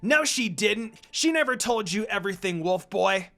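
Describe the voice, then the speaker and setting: A man voicing a rude, quick-tempered young male half-demon who snaps and shouts. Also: high voice, expressive, soft background music, voice pushed up, exaggerated voice. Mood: angry shouting. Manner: shouting, snarky.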